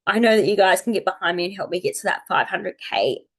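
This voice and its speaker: Female vlogger, thirties, slightly croaky with a cold, medium pitch, chatty unscripted delivery. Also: animated, fast talker. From Australia. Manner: chatty, rambling, thinking aloud. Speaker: astrology video host. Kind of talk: casual unscripted chat to viewers.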